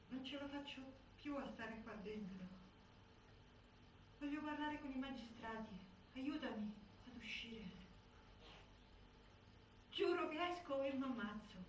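An actress playing a desperate female prisoner, pleading in anguish in a theatrical monologue. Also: high voice. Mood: frantic. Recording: echoey stage, distant mic, noisy.

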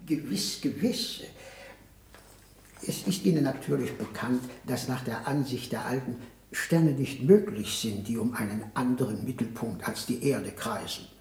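An actor playing a dryly skeptical, pedantic court mathematician in a 1960s German radio play, measured and politely evasive. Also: male voice, mid-range voice, a little mellow, reverberant, lightly acted.

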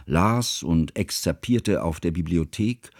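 Anxious scholarly guy narrating a radio drama, low voice, very formal, dry sound. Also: animated, takes it slowly, a little mellow, no echo, exaggerated voice. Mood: reflective, measured. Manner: formal, scholarly, ornate phrasing. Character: bookish, anxious man narrating his friend's life story.

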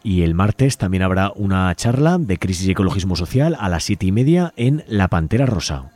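A man's voice: deep voice, big pitch swings, moderate pace. A man with an accent from Spain, hosting a community radio show and reading out event listings. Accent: Spanish from Spain.

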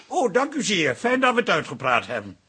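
An actor playing a defensive, teasing husband in a comic radio drama, protesting in an exasperated, stammering way. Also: male voice, high-pitched, huge pitch swings, brisk, heavy background noise. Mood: sheepish, teasing.

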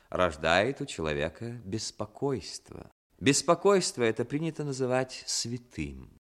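Low-voiced, expressive male storyteller. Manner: measured literary storytelling. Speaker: narrator. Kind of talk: scripted narration for 1960s radio drama.

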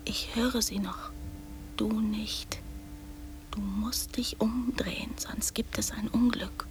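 An actress playing an imaginative, bossy little girl in a radio drama, often dropping into secretive whispers and then insisting loudly. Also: mid-range voice, steady tone, slow pace, lightly acted. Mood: hushed, secretive. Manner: hushed, secretive whispering broken by sudden loud insistence.

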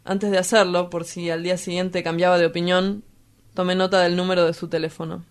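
A woman's voice: fairly low pitch, level tone, fairly quick, clean tone, natural voice. A woman with an Argentine accent playing a brooding, blunt, poetic lover who lives by night, in a radio drama.

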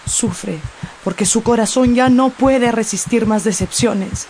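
A woman's voice: a little high, measured pace, a little mellow, a little hiss or hum. A woman narrator reading a scripted radio serial in a solemn, suspenseful, dramatic way.

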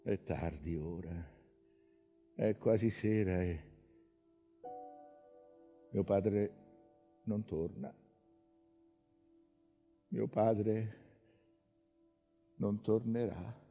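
An actor playing a wistful, haunted sailor's son in an intimate, hesitant, reflective theatrical monologue. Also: male voice, on the low side, very slow, pauses often, slightly rough, full character voice. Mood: sombre, haunted. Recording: dull lo-fi sound, faint music.

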